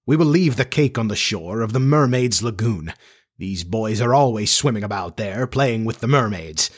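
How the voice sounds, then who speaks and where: Storybook villain: male reader as vengeful pirate captain, slow, brooding, theatrical, with a husky edge. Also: fairly low pitch, animated. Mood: gloating, sly. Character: menacing, brooding pirate captain with a haunted dread.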